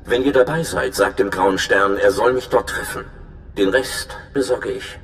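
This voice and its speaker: Ein Schauspieler als ernster, berechnender Informant und Spion in einem Videospiel, der eindringlich erklärt. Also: male voice, lowish voice, normal speed, fairly bright tone, lightly acted. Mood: scheming. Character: earnest, scheming male spy informant. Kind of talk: dramatic video game dialogue in German. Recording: echoey, noisy hologram transmission.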